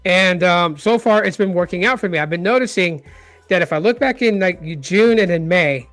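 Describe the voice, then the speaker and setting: A man's voice: sits high, normal speed, a bit bright, no echo. A man in his forties with a light voice, talking casually as a tech channel host, rambling and repeating words with lots of fillers. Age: 40s. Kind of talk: casual vlog talk.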